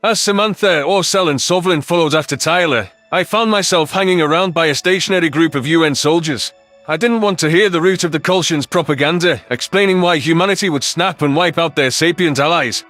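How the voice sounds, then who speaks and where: A man's voice: a little high, fairly quick, a bit bright, soft background music. A man reading a scripted first-person science fiction story as an audiobook narrator.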